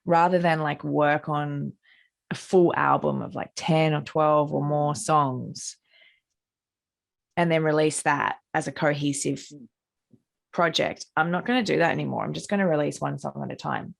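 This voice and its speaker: A middle-aged Australian woman musician with a husky, gravelly voice, answering interview questions in a loose, rambling way full of "like" and restarts, over a video call. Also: sits low, steady tone, moderate pace. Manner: rambling, many likes and restarts.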